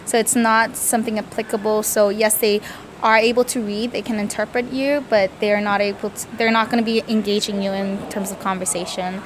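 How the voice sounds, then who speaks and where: A woman's voice: mid-range voice, level tone, fairly quick, slight background noise. A young woman from Korea, a native Korean speaker, answering interview questions casually, hesitant, with many fillers and self-corrections. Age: young adult. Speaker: interviewee, student.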